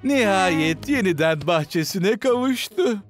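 A narrator giving excited, theatrical commentary on a children's cartoon, full of gasps and exclamations. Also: medium pitch, measured pace, soft background music.

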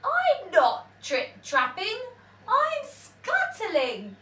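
A woman voicing a tiny, frightened spider from a storybook in a very high, squeaky, drawn-out voice. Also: huge pitch swings, sharp tone, heavily acted. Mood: indignant. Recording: echoey room, mic at a distance.

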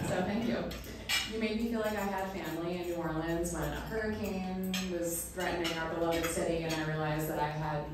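A woman in her thirties giving a chatty, emotional bridesmaid toast at a standing mic, with many ums and bursts of laughter. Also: low-pitched. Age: 30s. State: emotional, near tears. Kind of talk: wedding toast read from a phone. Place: small indoor reception room. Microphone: standing mic, filmed from across the room. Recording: echoey room from a distant camera, noisy crowd.

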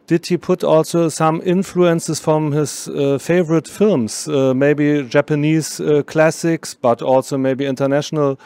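A middle-aged man interviewing guests at a film festival, asking long questions with many pauses, into a handheld mic in a small room. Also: a little high, a little mellow, smooth, no echo. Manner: hesitant, asks long rambling questions.

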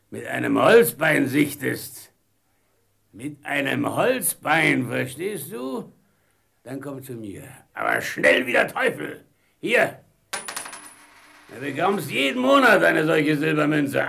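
A bossy old sea captain for a radio play. The voice is heavily gravelly and swings widely as he blusters, drawing out his words in a slow, rum-soaked sailor's growl. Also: on the high side, sharp tone, heavily acted. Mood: wary, conspiratorial. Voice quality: gravelly, creaky. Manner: blustering, drawn-out sailor talk with chuckles. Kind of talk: German radio play acting.

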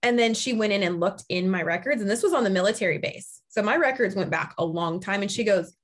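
A woman in her forties hosts a relaxed group video call from home. She tells stories in a chatty, animated way and acts out what other people said. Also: medium pitch, quick pace. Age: 40s. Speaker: podcast host. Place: home room, indoors.

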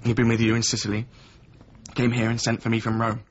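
An actor playing a weary, resentful former apprentice of a painter in a naturalistic radio drama, with a husky voice. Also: male voice, mid-range voice, monotone, fast talker, slight background noise, natural voice. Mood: grim, subdued. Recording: slightly muffled radio drama recording.

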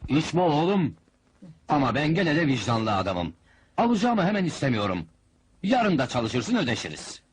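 An actor playing a wily, bossy fairground boss in a comedy film, with pompous huckster patter. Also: male voice, a little high, very animated, normal speed, breathy edge. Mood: slyly businesslike. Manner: loud huckster patter, pompous mock-formal announcements. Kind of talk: comic film dialogue and fairground barking.

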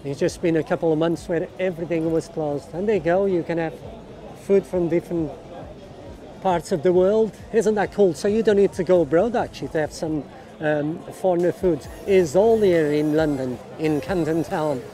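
A man's voice: a little high, moderate pace, warm tone. A middle-aged man giving casual walking tour commentary in an enthusiastic way, often exclaiming and repeating his phrases. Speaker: vlogger and walking tour guide. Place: busy outdoor street market. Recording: outdoor crowd noise, background music. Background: music and crowd chatter.

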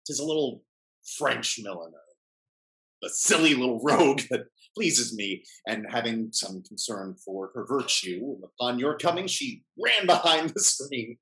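A middle-aged male actor playing a smooth-talking, hypocritical gentleman schemer in a theatrical period stage comedy. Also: mid-range voice, expressive, measured pace, rough recording. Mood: evasive. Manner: theatrical, emphatic period speech. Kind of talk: Restoration-style stage comedy over video call. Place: indoor room, video call.

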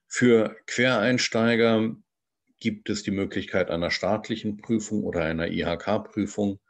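A bilingual man, a translator, giving an online lecture over slides in a measured, explanatory way, with frequent hesitations. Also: on the low side, steady tone. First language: bilingual German and English.

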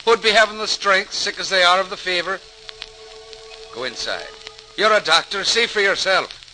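A bitter Irish slum dweller with a piercing, fairly high voice. He talks in weary, sardonic questions with a lilting turn of phrase. It is an old radio drama recording with faint music behind. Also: animated, normal speed, bright, forceful tone, exaggerated voice, low-quality recording. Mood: indignant. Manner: lilting idiom, rhetorical questions. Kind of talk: old-time radio acting.

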